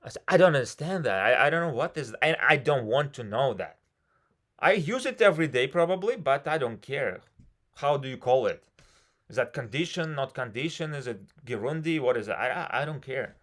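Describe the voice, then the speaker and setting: A man with a Russian accent talks English casually over a video call. His pitch sits in a plain middle range. He rambles frankly and fills his pauses. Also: animated, fairly bright tone. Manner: rambling and frank, many "you know" fillers. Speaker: civil engineer. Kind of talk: casual conversation practice.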